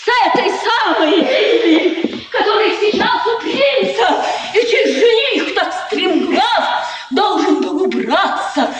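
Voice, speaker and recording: An actress playing a proud, bitterly mocking, agitated countess in a 1950s radio play, with theatrical, taunting emphasis. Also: female voice, extremely high pitch. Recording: echoey, noisy 1950s radio recording.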